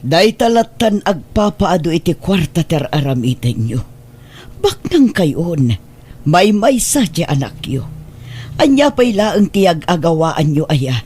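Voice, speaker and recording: A woman with an Ilocano accent playing a caring, reproachful elderly mother in a radio drama, pleading and admonishing in a husky voice. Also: on the low side, very animated, slow, darkish tone, heavily acted. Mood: concerned, chiding.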